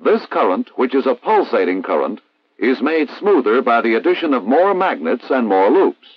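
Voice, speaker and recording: An American man reading a scripted instructional narration in a formal, measured, didactic way, as in a 1960s military training film. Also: mid-range voice, very animated, no room sound. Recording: dull vintage 1960s film soundtrack.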